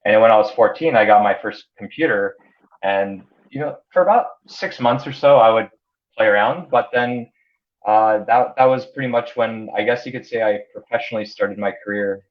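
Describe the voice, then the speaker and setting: A man's voice: fairly low pitch, normal speed. A man in his thirties, a Hungarian native speaker raised in the United States, talks about his life in a casual interview, stumbling over repeated words and fillers. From born in Canada, grew up in the United States. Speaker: interview guest, entrepreneur. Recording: dull lo-fi audio.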